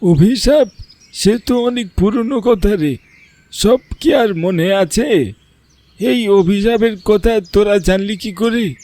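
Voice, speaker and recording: A man voicing a very old village grandfather in a cartoon, recalling an old tale in a drawn-out elder's manner. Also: high voice, dark tone. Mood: grave, reminiscing. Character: oldest village grandfather, reminiscing storyteller. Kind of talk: Bengali cartoon story dialogue.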